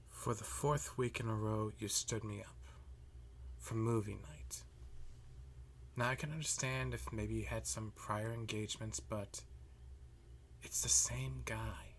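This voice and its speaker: A man playing a weary, jealous math teacher and best friend in a roleplay monologue, halting and muttering with a breathy voice. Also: fairly low pitch, fairly even intonation, fairly bright tone, slight background noise. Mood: hurt, upset. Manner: halting, muttering, frequent sighs. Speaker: audio roleplay performer. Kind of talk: slow roleplay monologue to the listener.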